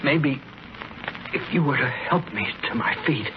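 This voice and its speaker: Actor as a slow, weak, dying radio man. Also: medium pitch, animated, lightly acted. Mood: weakly anxious. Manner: halting, faint, broken phrases. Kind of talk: 1940s radio drama acting. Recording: muffled, noisy old radio recording.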